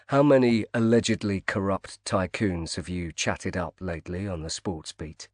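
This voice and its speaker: A man voicing a determined male private investigator who speaks in a cool, measured way. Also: deep voice, no room sound, studio quality, pitched below the performer's own voice.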